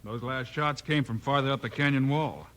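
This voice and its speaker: A man playing a brisk, decisive western lawman hero in a 1950s radio drama. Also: medium pitch, fairly bright tone, close to an everyday voice. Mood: commanding. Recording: noisy old radio recording.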